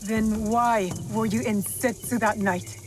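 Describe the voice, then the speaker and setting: A woman's voice: on the high side, steady tone, takes it slowly, noisy background. An actress playing a protective, commanding young woman warrior in a video game, speaking in a firm, serious way. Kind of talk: cinematic video game acting.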